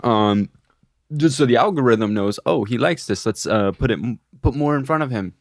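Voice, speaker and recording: A man co-hosting a podcast, talking casually with friends in joking, sweary slang, with halting phrases padded with "like". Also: mid-range voice, animated, dry recording. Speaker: podcast co-host.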